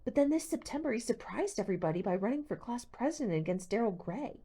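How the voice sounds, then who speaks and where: A woman's voice: a little high, expressive, quick pace, warm tone, slightly rough, voice pushed up. A woman voicing a chatty, curious teenage schoolgirl in an audiobook, with a casual, gossipy manner.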